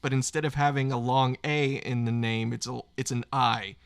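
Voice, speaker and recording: An American man talks in a casual, conversational way. His voice sits at an ordinary middle pitch, as he takes part in a livestream chat. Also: steady tone, moderate pace, clean tone.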